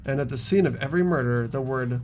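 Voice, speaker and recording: A man's voice: medium pitch, fast, darkish tone, close to an everyday voice. A man voicing an earnest, anxious teenage schoolboy protagonist, narrating dramatically as in a visual novel. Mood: grim, stunned. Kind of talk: visual novel character reading.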